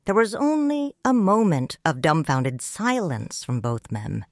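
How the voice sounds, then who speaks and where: Low-voiced woman narrating calmly. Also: measured pace, no room sound, studio quality. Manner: calm, reflective storytelling. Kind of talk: audiobook narration of a story in first person.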